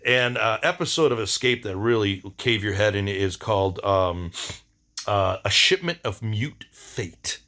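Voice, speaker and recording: A middle-aged man talking casually in a rambling video diary, halting and slangy, with laid-back enthusiasm. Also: lowish voice, lively intonation, pushed and bright. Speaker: vlogger.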